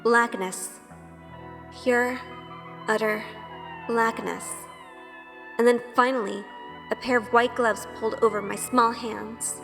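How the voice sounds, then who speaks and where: A woman's voice: on the high side, fairly even intonation, takes it slowly, creaky, pauses often, big-room sound. A woman playing a timid, sheltered young woman in an audio drama, hesitant and anxious. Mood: uneasy, reflective. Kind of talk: audio drama acting and first-person narration. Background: music.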